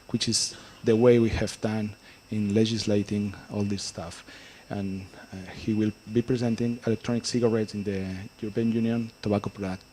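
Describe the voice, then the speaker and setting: A man's voice: lowish voice, dark tone, slight background noise. A man chairing a conference session, introducing the speakers with many hesitations and fillers. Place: conference hall.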